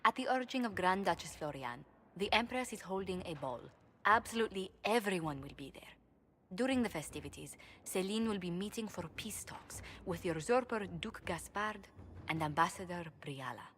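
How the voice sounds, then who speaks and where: A woman's voice: mid-range voice, lively intonation, moderate pace, a bit bright, a little hiss or hum, lightly acted. An actress playing a shrewd, poised woman diplomat in a video game, speaking precisely, formally and earnestly. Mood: briefing, serious.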